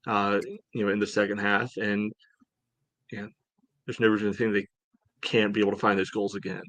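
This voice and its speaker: Low-voiced man in halting sports chat. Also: little pitch movement, fairly bright tone, no room sound. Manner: halting, peppered with "you know". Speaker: podcast co-host. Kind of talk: casual sports analysis chat.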